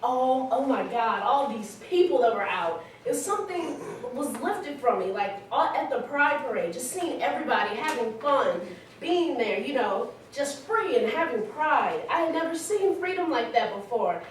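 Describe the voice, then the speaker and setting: A candid, smitten young woman, played by an actress shares her story in a chatty staged monologue. Her voice is high. It comes from some distance in a heavily echoing hall, with slight background noise. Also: sing-song, normal speed. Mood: candid, excited. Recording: very echoey hall, distant mic, faint noise.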